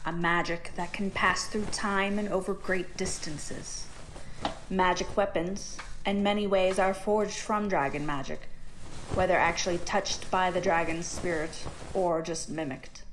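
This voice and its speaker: An actress playing a tired, loving mother in a fantasy audio drama, gentle and thoughtful as she shares lore. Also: on the low side, fairly even intonation, unhurried, a little hiss or hum, rough recording. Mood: tender, instructive.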